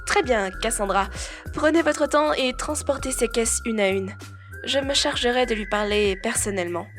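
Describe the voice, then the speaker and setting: A woman playing a strict, dutiful head housekeeper in a French audio roleplay, speaking in a prim, formal, measured way. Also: a little high, soft background music, lightly acted. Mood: concerned, composed.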